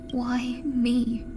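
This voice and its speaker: A woman playing a frail, polite young merchant who is gravely wounded, speaking hesitantly and weakly in a game cutscene. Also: on the high side. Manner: hesitant, weak, breathy sighs. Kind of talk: dramatic video game cutscene dialogue. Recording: music under the voice.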